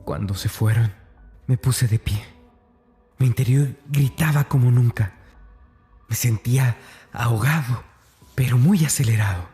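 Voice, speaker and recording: Un hombre interpretando a un escolar atormentado, voz muy oscura. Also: male voice, medium pitch, a little slow, long pauses, warm tone, soft background music, close to an everyday voice. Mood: anguished, agitated. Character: tormented, fearful schoolboy writing in his diary, 1965. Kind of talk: dramatic first-person diary narration.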